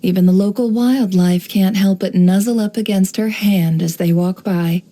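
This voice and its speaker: An actress voicing a sly, composed young woman, an intelligence broker in a video game, speaking in a measured, coolly knowing, teasing way. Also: medium pitch, fairly even intonation, mellow, natural voice. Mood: sardonic. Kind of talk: video game character voice lines.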